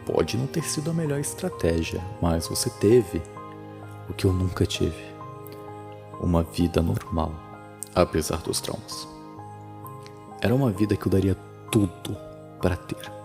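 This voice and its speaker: A man's voice: low-pitched, animated, a little slow, creaky, long pauses, music in the background, full character voice. A Brazilian man voicing a dead father's ghost, an older, sardonic, fatalistic man, in a horror audio drama. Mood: bitter, resigned.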